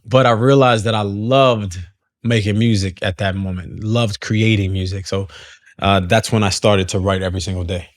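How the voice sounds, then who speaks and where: Guy with a lowish voice, laid back, lots of uh's, dry close sound. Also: moderate pace, no room sound. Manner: relaxed, hesitant, laughs often. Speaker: guest singer. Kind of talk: conversational interview.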